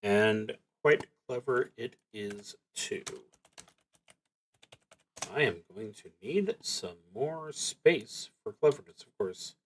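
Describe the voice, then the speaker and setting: A man streaming a solo tabletop roleplaying game, narrating his play and thinking aloud with hesitant pauses. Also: lowish voice, expressive, slight background noise.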